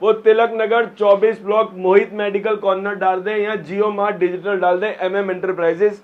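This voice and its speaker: A man's voice: high voice, level tone, moderate pace. A man with a sore throat, selling phones in his shop and rattling off prices in short clipped lists. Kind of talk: sales pitch in a shop. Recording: dull lo-fi sound.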